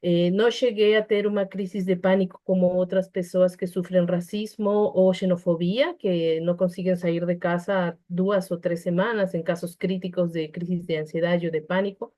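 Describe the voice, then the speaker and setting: Mellow Peruvian woman speaking reflectively. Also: on the low side, flat delivery, moderate pace, hardly any pauses. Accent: Peruvian Spanish. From Peru. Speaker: psychologist and panel speaker. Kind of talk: personal testimony in an online panel discussion.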